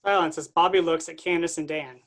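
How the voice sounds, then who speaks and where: A reader giving the stage directions of a play in a plain, even way. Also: mid-range voice, fairly quick. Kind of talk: reading stage directions aloud.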